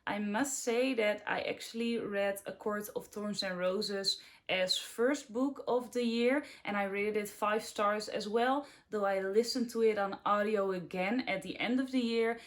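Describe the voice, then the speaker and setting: A woman's voice: mid-range voice, fairly even intonation, unhurried. A woman in her twenties from the Netherlands with a Dutch accent, chatting casually and a little ramblingly about her favorite books in a vlog. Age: 20s. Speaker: book vlogger. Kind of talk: casual book review vlog.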